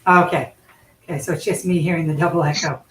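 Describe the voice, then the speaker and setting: A woman's voice: low voice, steady tone, fast talker, a crowd in the background. A woman hosting a casual live stream, chatty and loose, talking through an in-ear microphone. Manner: chatty, loose, lots of fillers. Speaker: livestream host. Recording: echoey room audio.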